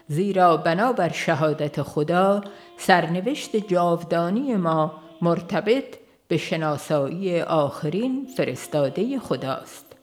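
An audiobook reader, giving a scripted narration of a religious book. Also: mid-range voice.

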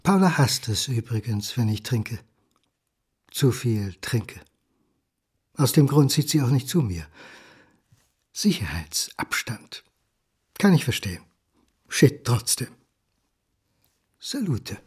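A lonely failed son in a radio drama. His voice is very husky and unhurried, brooding and halting, with sardonic self-mockery. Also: mid-range voice, sing-song, a little mellow, heavily acted. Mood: brooding, bitter. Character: lonely, bitter failed son, drinking, self-mocking courier. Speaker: actor in a radio play. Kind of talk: intimate monologue radio drama.

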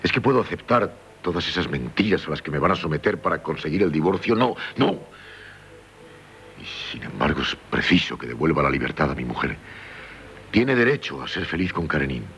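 Very deep-voiced, despairing dissolute man. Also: sing-song, gravelly, fairly bright tone, exaggerated voice. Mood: anguished. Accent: Spain. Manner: halting, repeats words, dramatic pauses. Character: despairing, dissolute husband, self-loathing and tender. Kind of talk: 1970s radio drama acting. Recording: noisy 1970s radio broadcast recording.

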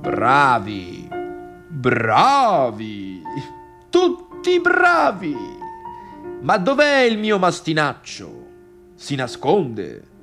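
Slow, drawling, patronising father. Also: fairly high pitch, wildly swooping pitch, fairly bright tone, faint music behind, heavily acted. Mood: effusive. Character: effusive, patronising middle-aged father of a young pianist. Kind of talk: theatrical radio drama dialogue.

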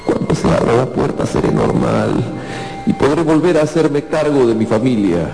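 An actor playing a despairing young man turned into an insect, muttering broodingly to himself in a gravelly voice in a radio drama. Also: mid-range voice, big pitch swings, normal speed, mellow. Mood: desperate hope. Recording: roomy echo with music underneath.

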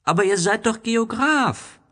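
A man's voice: sits high, animated, a little slow, bright, forceful tone, voice pushed up, full character voice. A performer voicing a small, innocent boy prince in a storybook audio drama, earnest and full of wondering questions. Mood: disappointed.

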